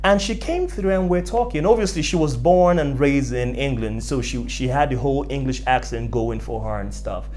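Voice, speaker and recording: Young Nigerian vlogger, emphatic storyteller with very lively intonation, faint music underneath. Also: mid-range voice, moderate pace, hardly any pauses. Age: young adult. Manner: emphatic, repeats phrases for effect. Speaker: vlogger telling personal stories. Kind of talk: animated storytelling to camera.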